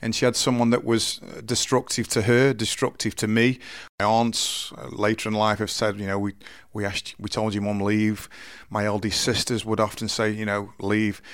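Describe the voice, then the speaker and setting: A man in his forties with a deep voice, telling his life story slowly and earnestly in an interview, often restarting his sentences. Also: level tone, a bit bright, smooth, no echo, studio quality. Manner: slow, halting, earnest storytelling with many restarts. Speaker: interview guest. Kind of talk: candid personal interview.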